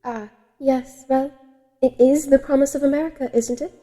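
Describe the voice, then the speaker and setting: Woman playing a high-voiced, genteel lady vampire. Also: fairly even intonation, normal speed, mellow, soft background music, natural voice. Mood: poised, breezy. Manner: refined, coy, proper phrasing. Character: genteel, predatory female vampire immigrant out west. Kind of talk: audio horror-comedy acting.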